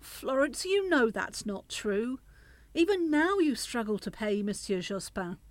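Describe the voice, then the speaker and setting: A reproachful middle-aged grandmother, very animated high pitch, coaxing, self-justifying, radio play, dry sound. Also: measured pace, no echo. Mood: coaxing, pleading. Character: pleading, reproachful grandmother, anxious to smooth things over.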